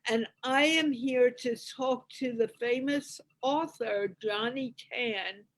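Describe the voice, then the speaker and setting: A woman hosting a casual live online interview with a halting delivery. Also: fairly high pitch. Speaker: host of online interview show.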